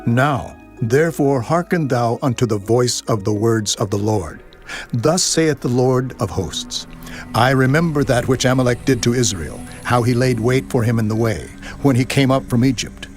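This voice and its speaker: A man's voice: medium pitch, expressive, normal speed, close to an everyday voice. A man acting a stern, solemn prophet over music. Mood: solemn, commanding. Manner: solemn, declamatory, archaic speech. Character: stern, righteous old prophet rebuking a king. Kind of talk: dramatized scripture audio acting.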